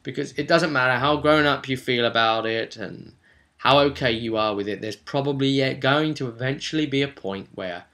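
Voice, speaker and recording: Bright-voiced man, emphatic tabletop vlogger. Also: medium pitch, moderate pace. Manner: animated, emphatic, repeats words for stress, acts out imagined players' remarks. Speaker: tabletop game master and vidcast host. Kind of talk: rambling vlog commentary on a roleplaying game session.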